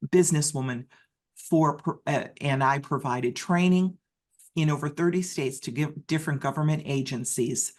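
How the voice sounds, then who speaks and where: Halting live interpreter voice. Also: mid-range voice. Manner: halting phrasing with frequent restarts and self-corrections. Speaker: sign language interpreter voicing a deaf speaker. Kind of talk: live first-person interpretation of a personal talk.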